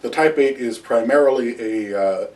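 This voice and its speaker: A man in his thirties giving an unscripted history lecture to camera at home, pausing often with "uh" and restarting phrases. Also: medium pitch, a little mellow, recorded from a distance. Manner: halting, many "uh" fillers and restarts, gestures with both hands. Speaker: history video host. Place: small room at home with wall hangings and shields. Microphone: camera a few feet away.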